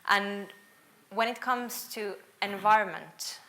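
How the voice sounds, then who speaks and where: A woman's voice: medium pitch, steady tone, slow, pushed and bright. A young woman from Finland speaking at a panel event, earnest, often repeating the first word of a sentence. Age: young adult. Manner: earnest, doubles words when starting sentences. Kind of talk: panel talk. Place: event hall with audience.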